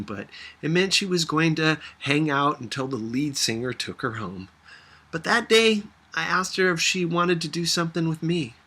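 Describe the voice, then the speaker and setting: A man's voice: mid-range voice, bright, forceful tone, slightly rough. A man reading a first-person story aloud in a conversational, reflective manner. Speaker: audiobook reader.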